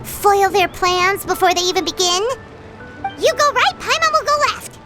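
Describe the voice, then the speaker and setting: A woman voicing a small, excitable, cheeky fairy companion in a video game, with a squeaky childlike voice and a brash manner. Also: moderate pace. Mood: suspicious. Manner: brash, speaks of self in third person. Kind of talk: video game character acting. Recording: music behind the voice.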